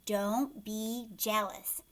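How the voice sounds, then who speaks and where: A woman reading a children's storybook, playing an excitable, gently chiding mother in an exclamatory, coaxing manner. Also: mid-range voice, very slow. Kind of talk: children's storybook read-aloud.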